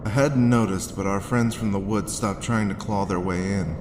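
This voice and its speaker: A low-voiced, world-weary man played by an actor narrating noir audio drama with a wry, sarcastic edge. The sound is very roomy, with faint noise behind. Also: steady tone, normal speed, lightly acted.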